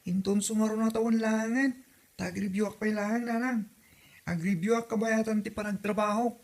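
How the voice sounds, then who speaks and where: A woman's voice: mid-range voice, fairly even intonation, normal speed, darkish tone, close to an everyday voice. A woman playing a dutiful young adult daughter in an audio comedy drama, speaking with an Ilocano Filipino accent. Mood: patient, dutiful.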